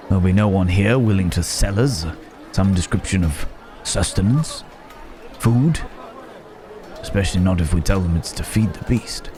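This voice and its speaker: Courteous werewolf in human guise, played by a man, for ASMR roleplay: deep, unhurried, wary and wordy. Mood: cautiously hopeful. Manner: hesitant, musing, old-fashioned wordy phrasing. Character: courteous city-bred man secretly a werewolf, thoughtful, wary. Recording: market crowd and outdoor ambience noise. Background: bustling village market ambience.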